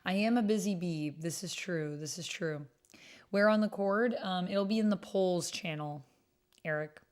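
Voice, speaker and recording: Woman, slightly low voice, livestream host, casual chat, informal, talking directly to viewers. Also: a little slow. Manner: chatty, informal, addresses viewers by name.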